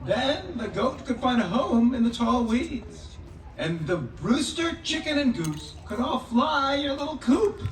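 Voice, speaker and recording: A performer playing a wise village rabbi and storyteller in a children's puppet show, deliberate and musing. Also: sits high, very animated, heavily acted. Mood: measured, wise. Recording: echoey, distant stage with audience noise.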